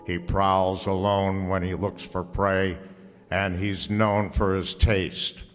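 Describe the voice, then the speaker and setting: An actor playing a wry, hard-boiled overweight detective, narrating in a deliberate, sardonic way in the style of 1940s radio drama. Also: male voice, low-pitched, little pitch movement. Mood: wry, ominous. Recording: echoey hall, music behind.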